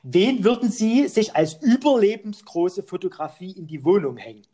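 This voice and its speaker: A cheeky, boozy pub philosopher captain hosts a comic quiz, played by a man. He teases and jokes in a slightly high voice. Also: lively intonation, normal speed, warm tone. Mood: joking. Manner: playful, joking, teasing. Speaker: host. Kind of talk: comic phone interview quiz.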